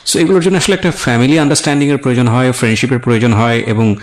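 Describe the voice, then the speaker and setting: A man's voice: medium pitch, steady tone, rapid-fire, a little hiss or hum. A man with a Bangladeshi accent hosting an interview, questioning and advising a guest and mixing in English words. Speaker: radio show host.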